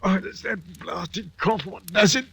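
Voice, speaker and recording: A high-strung frightened convict. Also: male voice, unhurried, creaky, fairly bright tone, heavily acted. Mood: suspicious. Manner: uneducated speech, pleading then raging. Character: terrified sick male convict, coarse and desperate. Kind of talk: 1940s radio acting.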